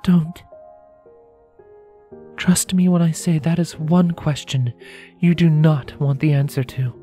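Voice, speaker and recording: Woman acting guarded mare, pitched well above narration. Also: mellow, faint music behind. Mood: firm warning. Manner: measured, polite but firm. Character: composed female unicorn mare, protective, guarded traveler.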